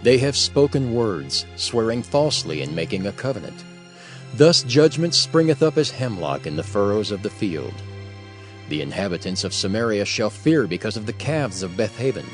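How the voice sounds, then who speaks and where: A man narrating a dramatized reading of King James scripture in a solemn, measured manner. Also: fairly low pitch, sing-song, faint music behind.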